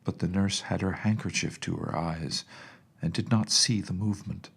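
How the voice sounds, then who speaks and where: A man reading a children's storybook aloud as an audiobook narrator, in an expressive scripted style. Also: low voice, moderate pace, gravelly, breathy edge.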